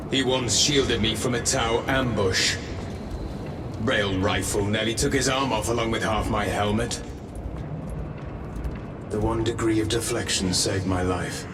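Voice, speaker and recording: Man voicing a low, reminiscing veteran. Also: steady tone, measured pace, pauses often, natural voice. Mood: reflective. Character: veteran male space marine, fond and reflective. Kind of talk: game cutscene dialogue. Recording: distant, echoey hall with music.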